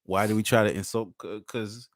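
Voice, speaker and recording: A man's voice: lowish voice, fairly even intonation, brisk, no echo, studio quality. A man co-hosting a podcast in casual chat, laid-back and profane, laughing often. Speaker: podcast co-host.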